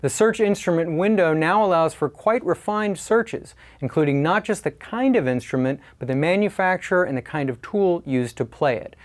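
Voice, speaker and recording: A man in his forties giving a scripted product review in a measured, clearly articulated presenter style. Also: on the high side, lively intonation.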